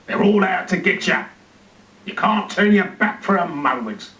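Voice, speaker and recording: A man's voice: sits high, animated, a little slow, pushed and bright, pitched above the performer's own voice, heavily acted. A man voicing a self-important, self-pitying zookeeper who mutters and exclaims dramatically to himself in a children's storybook. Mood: gloomy, self-pitying. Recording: roomy, distant TV speaker playback.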